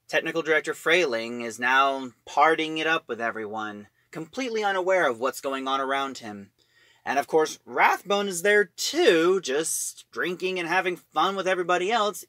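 Bright-voiced man recapping a story. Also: a little high, dry recording. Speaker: reviewer.

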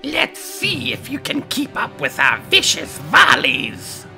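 Sharp, creaky wicked villainess spirit. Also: female voice, high-pitched, wildly swooping pitch, takes it slowly, soft background music, full character voice. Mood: gloating, outraged. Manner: melodramatic, gloating. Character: wicked, theatrical evil sister spirit, shrieking villainess. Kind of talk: fan-dub game parody acting.

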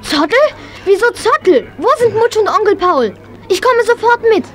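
High-voiced, spirited young girl. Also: expressive, moderate pace, a bit bright. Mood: alarmed. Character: spirited horse-loving young girl, eager and excitable. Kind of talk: German children's audio drama acting.

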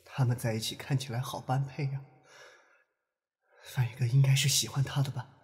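A man playing a gentle, wistful young man in love in an audio drama, speaking softly and earnestly. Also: mid-range voice, measured pace, lightly acted. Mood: hurt, faint. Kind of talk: Chinese audio drama acting. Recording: reverberant, with music behind.

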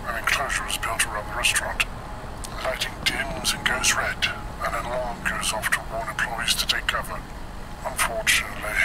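An actor playing a grim scientist survivor, narrating research logs for a game in a hushed, breathy, measured and dramatic way. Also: male voice, mid-range voice, very noisy, natural voice. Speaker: narrator of in-game cassette recordings.

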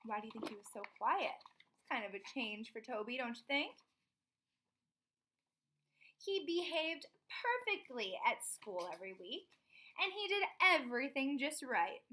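A young woman with a high-pitched, bright voice reads a children's picture book aloud. Her delivery is expressive, and she speaks directly to small listeners, checking in with questions as she goes. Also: unhurried. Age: young adult. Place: small room indoors at home.

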